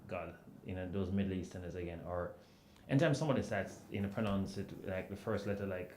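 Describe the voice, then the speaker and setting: A man with a lowish voice talks on a writers' panel in a reverberant hall. He tells things as stories, full of "you know" and lively acted-out quotes, over a faint room hum. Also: fast talker. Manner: animated storyteller, acts out quotes. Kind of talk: panel discussion. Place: event hall with audience. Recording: echoey room.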